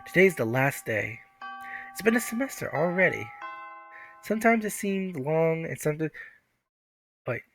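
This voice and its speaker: A man voicing an earnest, excitable teenage schoolgirl in a visual novel, with wry inner monologue over music. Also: fairly high pitch, normal speed, pauses often. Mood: musing. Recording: music behind the voice.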